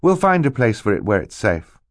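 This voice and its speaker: Man voicing an earnest young girl, lifted pitch. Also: sing-song, brisk, no room sound, clean studio sound. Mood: reassuring. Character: protective, sensible older sister, young girl.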